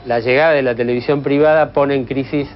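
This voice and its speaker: A man's voice: medium pitch, steady tone, fast, soft background music. A man with an Argentine accent explaining methodically in an interview, like an expert. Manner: methodical, didactic. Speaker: radio history expert. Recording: slightly dull lo-fi sound.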